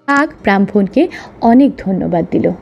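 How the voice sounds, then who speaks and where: A woman's voice: mid-range voice, expressive, normal speed, gravelly, lo-fi sound. A woman narrating a scripted children's story as a storyteller. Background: soft background music.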